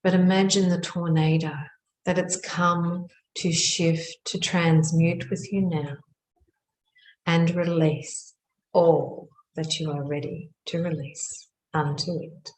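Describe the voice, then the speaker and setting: Slow, low-voiced woman guiding meditation. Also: a little mellow. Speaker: meditation guide. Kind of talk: guided meditation narration. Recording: roomy, echoing sound.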